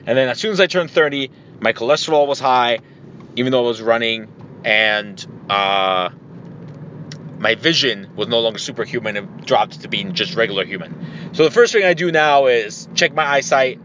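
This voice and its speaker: A man's voice: medium pitch, normal speed. A man in his thirties or older talking casually to himself as a podcast host, in a rambling, conversational, mildly profane way. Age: 30s or older. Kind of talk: casual solo monologue, personal anecdotes. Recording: muffled lo-fi audio, loud car noise. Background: loud vehicle and road noise.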